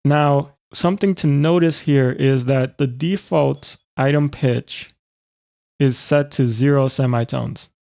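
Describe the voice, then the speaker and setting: A man walks through a software tutorial step by step in an informal way. His voice is gravelly and mellow, of middling pitch, recorded close and very dry. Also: fairly even intonation, takes it slowly, dry recording. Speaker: tutorial presenter.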